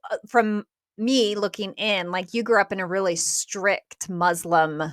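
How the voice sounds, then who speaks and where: A woman's voice: mid-range voice, fairly even intonation, unhurried, a bit bright, no echo, studio quality. A woman hosting an interview show, asking long, encouraging questions with lots of hedging. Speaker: podcast host.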